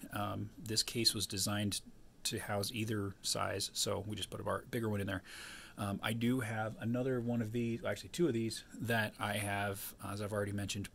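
A man's voice: on the low side, gravelly, fairly bright tone, slight background noise. A man giving a casual, unscripted hands-on DIY tutorial in an explanatory way, recorded indoors on a handheld camera. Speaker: DIY tinkerer presenting his own modification. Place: indoors at a wooden table.

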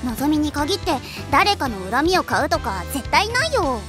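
Very high voice, carefree anime schoolgirl, lively swings, playful, exclamatory. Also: moderate pace, over music. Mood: breezy. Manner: playful, breezy, exclaims brightly. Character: cheerful, carefree, easygoing high school girl. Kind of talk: Japanese anime voice acting.

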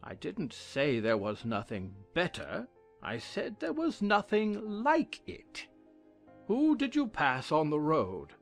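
A man playing a pompous, fussy old king in a dramatized audiobook, with a theatrical, drawn-out delivery. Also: on the high side, huge pitch swings, vocal fry, soft background music, exaggerated voice. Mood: self-satisfied.

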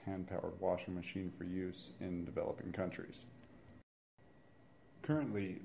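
A man's voice: low-pitched, gravelly, a little hiss or hum. A young man in his twenties, an engineering student, steadily explaining how his team built a hand-powered washing machine. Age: 20s. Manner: steady, instructional. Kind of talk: project explanation and demonstration.

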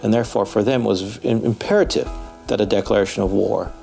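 Guy in his 40s, creaky low voice, explaining stuff haltingly in an interview, music behind. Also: steady tone, dark tone. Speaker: history professor, expert commentator. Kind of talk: documentary interview commentary. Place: indoor room, seated interview.

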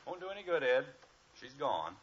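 A man's voice: mid-range voice, very animated, moderate pace, close to an everyday voice. An actor playing an exasperated middle-aged suburban father in a 1950s radio sitcom. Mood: wry, put-upon. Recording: noisy dull old radio recording, clicks.

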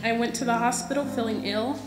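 A woman's voice: a little high, fairly even intonation, brisk. A woman reads prepared text aloud solemnly as a memorial volunteer. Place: church lectern, indoors. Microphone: lectern gooseneck microphone. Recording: echoey church hall, music behind.

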